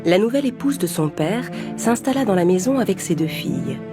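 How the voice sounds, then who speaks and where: A woman's voice: medium pitch, expressive, brisk, over loud music. A woman narrating a scripted bedtime fairy tale for young children as the storyteller.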